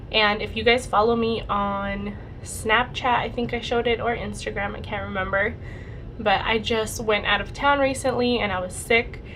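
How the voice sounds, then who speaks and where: A young woman vlogger chatting casually through a makeup routine, her voice a bit raspy and her nose congested from a cold. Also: mid-range voice, fairly bright tone, a little hiss or hum. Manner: chatty, rambling, lots of "like" and "super". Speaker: beauty vlogger. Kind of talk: casual makeup tutorial chat.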